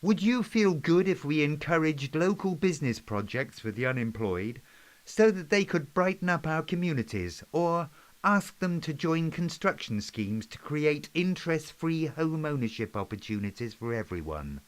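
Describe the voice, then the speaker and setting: A man's voice: a little high, expressive, normal speed, lightly acted. A man playing a polite, folksy British Prime Minister in an amateur play, chatty and a little hesitant as he gives a public address. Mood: polite, addressing crowd. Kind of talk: amateur play script performance.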